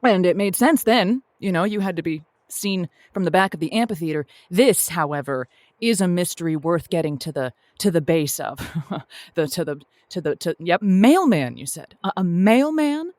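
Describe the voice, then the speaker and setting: A kindly radio advice host, played by a woman, speaks in a fairly low voice with very little room sound. She is wry and tactful, stumbling over delicate words as she counsels her callers in a comic show. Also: lively intonation, normal speed, darkish tone, dry recording, clean studio sound, close to an everyday voice. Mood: wry, advising. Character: kindly, flustered female host of a relationship advice call-in show.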